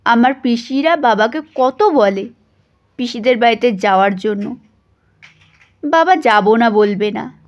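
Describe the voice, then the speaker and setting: A woman's voice: fairly high pitch, animated, slow, pauses often, highs cut off. A woman reading a first-person story as scripted audio narration.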